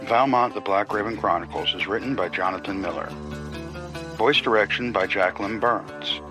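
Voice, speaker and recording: A man's voice: lowish voice, normal speed. A man hosting a storytelling podcast, reading scripted credits and supporter thanks over guitar music. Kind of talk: scripted credits and sponsor read.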